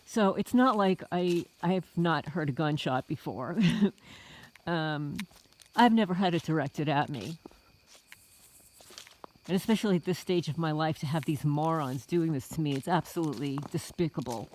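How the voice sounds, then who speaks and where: A woman's voice: sits low, normal speed, darkish tone, a little hiss or hum. Indignant middle-aged woman from the Bronx. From the Bronx, New York. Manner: indignant, rhetorical, addresses listeners as folks. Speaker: homesteading vlogger. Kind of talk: casual vlog monologue to viewers.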